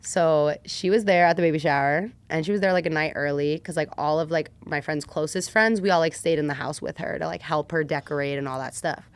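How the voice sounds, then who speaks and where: A woman talking casually as a podcast guest, animated and slangy, telling personal stories and acting out what people said. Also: deep voice, fairly quick, few pauses, clean tone. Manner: animated, slangy, acts out quoted lines. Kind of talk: casual personal storytelling in conversation.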